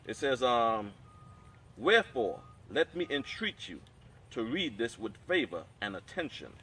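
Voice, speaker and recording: A man teaching a Hebrew class, explaining in a measured way and reading scripture aloud. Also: medium pitch, fairly bright tone, slight background noise, poor audio. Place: outdoor training camp.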